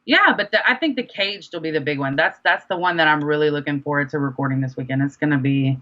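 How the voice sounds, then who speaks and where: A young woman speaks through slightly dull, lo-fi audio. Her voice sits quite low yet comes across bright and forward, as she answers informally and expressively in a casual interview. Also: fast talker. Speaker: guest rapper and songwriter being interviewed.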